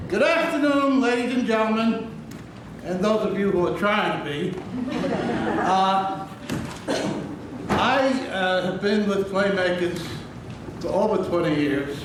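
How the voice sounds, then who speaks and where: A man's voice: high-pitched, takes it slowly, long pauses. A man telling joking anecdotes to a live audience in a chatty, casual way. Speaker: stage performer telling anecdotes. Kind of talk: casual stage storytelling. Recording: echoey hall from far off, noisy.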